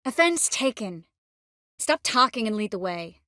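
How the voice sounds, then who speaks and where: An actress playing a confident, witty sorceress in a video game, with an expressive, wry way of speaking. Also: female voice, fairly high pitch, unhurried, full character voice. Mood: wry, teasing. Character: witty, confident adult sorceress, warm but worldly. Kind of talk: dramatic video game dialogue acting.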